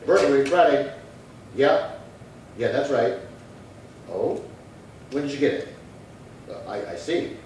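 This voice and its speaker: A man playing a businesslike, deadpan police detective, delivering clipped dialogue and case narration in a 1950s-style radio crime drama. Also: mid-range voice, takes it slowly, long pauses. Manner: clipped, deadpan, matter-of-fact. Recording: very echoey room, distant mic, faint noise.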